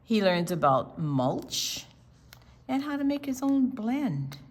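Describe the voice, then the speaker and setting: An older woman reading a picture book aloud to children, expressively, pausing to explain the pictures. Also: medium pitch, sing-song. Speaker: storytime reader.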